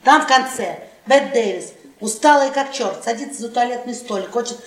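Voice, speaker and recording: An actress as a loud, bossy middle-aged wife in a radio play, needling and mocking. Her voice is fairly high and leans on words for emphasis. It sounds a little distant from the microphone, in a roomy, echoing stage space. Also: moderate pace, natural voice. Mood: insistent, animated. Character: loud, domineering, mocking 52-year-old wife. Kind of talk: theatrical radio play acting. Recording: roomy, echoey stage, off-mic.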